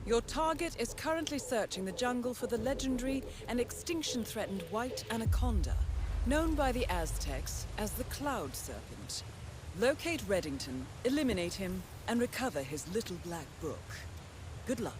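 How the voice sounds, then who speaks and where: An actress playing a coolly professional female handler in a video game, briefing an assassin in a measured, formal, dryly understated way. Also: on the high side. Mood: composed, discreet. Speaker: mission handler. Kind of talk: scripted video game mission briefing narration.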